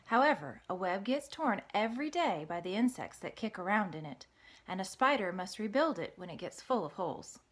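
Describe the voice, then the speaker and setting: A woman narrating a children's storybook in an expressive read-aloud style. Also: medium pitch, fairly quick. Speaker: storybook reader.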